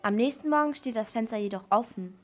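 A woman's voice: on the high side, fast, faint music behind. A female narrator telling a scripted story in a children's radio play.

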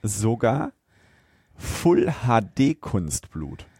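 Man, podcast co-host, dark soft-edged tone, casual chat, dry recording. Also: on the low side, sing-song, drawn-out words, husky, dry recording. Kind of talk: casual film discussion chat.

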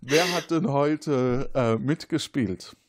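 A man's voice: mid-range voice, slow pace, no echo, clean studio sound. A man hosting a light quiz show, addressing the contestants conversationally. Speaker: quiz host.

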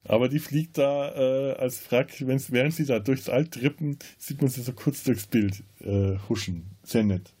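Guy on a film podcast, mellow n husky voice, casual, keeps restarting his phrases. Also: medium pitch, unhurried, hardly any pauses. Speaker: podcast co-host.

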